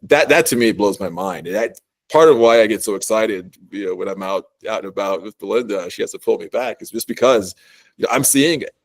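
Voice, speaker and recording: A male company co-founder being interviewed on a podcast, talking with excitement and tripping over his words in his enthusiasm. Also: fairly low pitch, fairly quick, dry recording, low-quality recording. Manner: excited, enthusiastic, stumbling restarts.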